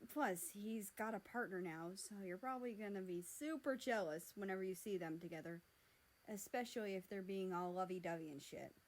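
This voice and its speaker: A woman's voice: medium pitch, moderate pace, lightly acted, rough recording. A cocky, teasing big brother as a woman reader plays him in a fan fiction story. He talks in a casual, slangy, sarcastic way. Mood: wry. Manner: casual, sarcastic, foul-mouthed.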